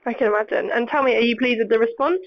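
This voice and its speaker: A woman's voice: on the high side, racing. A woman asking questions as the interviewer in a casual conversation. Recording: dull, lo-fi sound.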